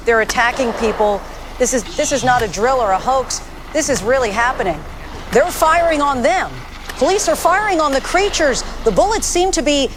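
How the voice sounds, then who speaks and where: Actress as female field reporter, high voice, halting and disbelieving, audio drama breaking news, faint vehicle ambience. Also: background noise, natural voice. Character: female TV news correspondent reporting shaken from a helicopter.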